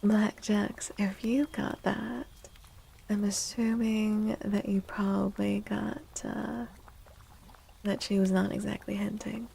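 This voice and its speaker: A soft-spoken woman hosting an ASMR livestream and reading tarot, gentle and unhurried, often dropping into a breathy half-whisper. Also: medium pitch, flat delivery, a little hiss or hum. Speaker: livestream host and tarot reader.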